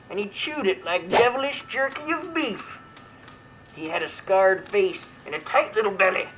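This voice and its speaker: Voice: mid-range voice, a bit bright, rough recording. A performer voicing a brash, crude martial arts buddy character, reading comic verse in a mock-dramatic, theatrical way. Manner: mock-dramatic storytelling, irreverent. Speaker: comedy show host character. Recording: music behind the voice.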